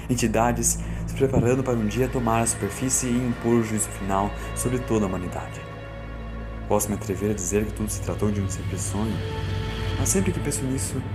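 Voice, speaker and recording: A man playing a reflective, fearful man of science, narrating an audiobook in the first person in a solemn, deliberate, brooding way. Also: mid-range voice, lightly acted. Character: Doctor Carlos, fearful, reflective man of science recounting events. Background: music.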